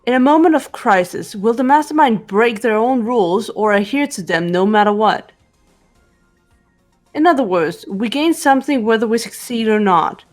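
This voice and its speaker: A woman voicing a cool, determined teenage detective girl in a visual novel game, measured and reserved. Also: fairly high pitch, lively intonation, faint music behind, close to an everyday voice. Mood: cool persuasion.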